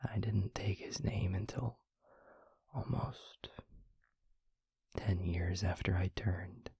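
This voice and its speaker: A man playing a gentle, devoted vampire boyfriend in an intimate audio roleplay, speaking earnestly and haltingly in a breathy, husky near-whisper. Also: sits low, fairly even intonation, dry recording, clean studio sound, heavily acted. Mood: hesitant, confessional. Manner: slow, halting, tender reassurance.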